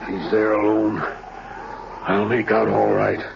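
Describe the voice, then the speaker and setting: A man's voice: on the low side, level tone, slow pace, gravelly, exaggerated voice. An actor playing a proud, desperate western family man with blunt, embittered, rustic talk, in 1940s radio drama style. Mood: grim resolve. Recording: muffled, noisy old radio recording.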